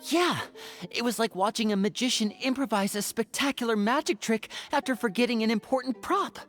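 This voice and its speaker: A woman's voice: on the high side, fairly quick, soft background music, close to an everyday voice. A woman playing an easygoing, wryly amused young woman in a video game, with understated wit. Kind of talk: video game character acting.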